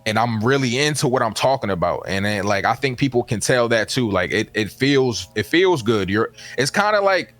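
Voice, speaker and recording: A man with a naturally deep voice, a guest on a stream, talking casually and getting animated. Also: moderate pace, hardly any pauses, soft background music. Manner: animated, voice rises when excited. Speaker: guest YouTuber.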